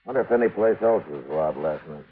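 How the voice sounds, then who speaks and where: An actor with an American accent playing a steady, dry, no-nonsense frontier marshal in an old radio western. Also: male voice, extremely low pitch, fast talker, husky, narrow, tinny audio, exaggerated voice. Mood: businesslike. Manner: terse, dry, probing. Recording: thin, noisy old radio recording.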